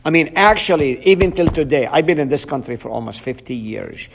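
A man's voice: on the high side, expressive, brisk, gravelly, a little hiss or hum. A middle-aged man from Palestine, a pastor whose first language is Arabic, talking earnestly and casually with a group. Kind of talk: casual talk with an audience.

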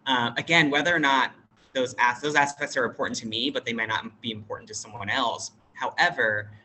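A non-binary American teacher with a bright, forward voice speaks over a video call. They think aloud in a relaxed way, pausing and restarting often. Faint noise sits under the voice. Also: mid-range voice, low-quality recording. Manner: thoughtful, hesitant, with many fillers and restarts. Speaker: teacher at an international school; workshop facilitator. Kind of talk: casual interview talk.

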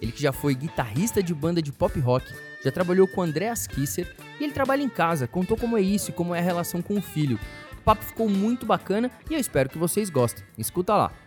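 A man's voice: sits high, lively intonation, fast, soft background music. A Brazilian man in his thirties hosting a podcast and putting questions to a guest in a casual interview style.

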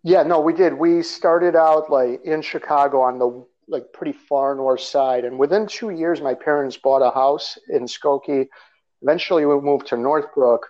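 A man's voice: a little high, moderate pace, a little mellow. A man from Russia, a guest author talking about his background in a loose, rambling way with fillers and small laughs. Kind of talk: conversational interview. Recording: slightly dull lo-fi audio.

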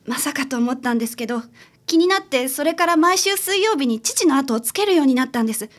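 Woman acting a troubled, sincere daughter, high voice. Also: animated, fast. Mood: earnest confiding. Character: troubled, sincere adult daughter seeking a detective's help. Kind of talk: radio drama acting.

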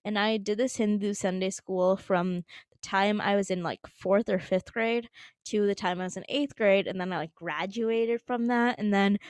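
A young woman from the United States, a bit hoarse from allergies, chatting casually on her own podcast with lots of "like" and little laughs. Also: medium pitch, fairly even intonation, normal speed, no room sound. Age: young adult. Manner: chatty, heavy use of "like", frequent little laughs. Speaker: podcast host. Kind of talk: casual solo chat.

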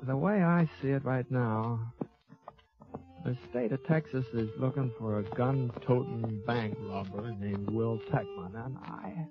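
An American actor playing a laconic, folksy gunfighter who narrates a 1950s radio western in a halting, drawling way. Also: male voice, medium pitch, over music, lightly acted. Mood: calm, thoughtful. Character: laconic, folksy, fair-minded Old West gunfighter narrating his tale. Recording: dull lo-fi vintage 1950s radio recording.

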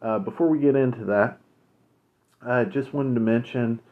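A man co-hosting a podcast, chatting casually and recommending shows, relaxed with many fillers. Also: mid-range voice, normal speed. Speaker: podcast co-host. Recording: phone-like narrow sound.

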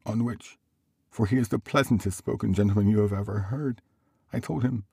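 A man acts out a gentle, grieving father from a storybook. The voice is husky and lifted above a deep natural register, tender and wistful in manner. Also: brisk, no echo, studio quality.

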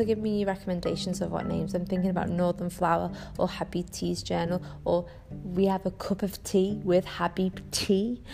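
Chatty Scouse woman with a gravelly voice. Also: on the low side. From Northwest England. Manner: chatty and rambling, many ums, self-correcting. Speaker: podcast host. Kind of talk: casual solo podcast chat.

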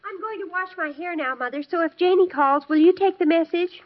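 A muffled vintage radio recording features an American teenage daughter. Her voice is high, and she is eager and gushing in the manner of a family sitcom. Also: fairly quick. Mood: eager, urgent. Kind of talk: 1950s radio sitcom acting.

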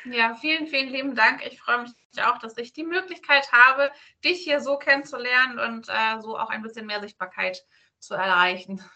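A woman's voice: on the high side, normal speed, sharp tone. A woman from northern Germany answering interview questions as a guest in a casual, chatty, loosely strung way. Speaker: podcast guest, self-employed virtual assistant.

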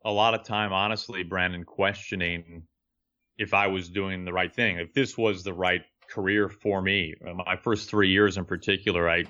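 A young man with a deep voice, an earnest, reflective baseball broadcaster telling his story as an interview guest. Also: steady tone, moderate pace, bright, forceful tone, dull audio.

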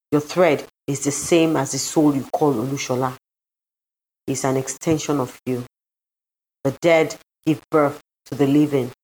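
A solemn sea deity read by a woman speaks in a low voice in an audio story. The delivery is stately and formal, merciful and grave. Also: a little mellow, low-quality recording.

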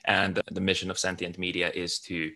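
A man's voice: low voice, monotone, normal speed, sharp tone. A middle-aged man from Finland, talking about his life as an interview guest, often restarting and repeating words. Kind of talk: casual interview talk.

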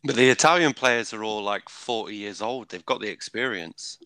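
Low, bright-toned young man telling stories. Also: moderate pace, dry recording, poor audio. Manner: laid-back storytelling, swears casually. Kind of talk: casual chat.

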